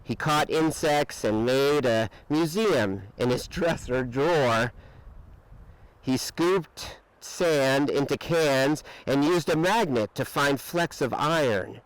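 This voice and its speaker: A man reading a children's picture book aloud with expressive, dramatic emphasis and drawn-out words. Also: mid-range voice, clean tone, dry recording, low-quality recording. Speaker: storybook reader.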